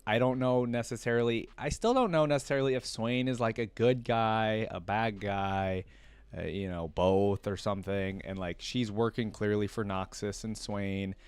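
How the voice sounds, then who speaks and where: A man's voice: on the low side, fairly even intonation, normal speed, clean tone, no echo. A man hosting a reaction video, reading a story aloud and adding casual, conversational fan commentary.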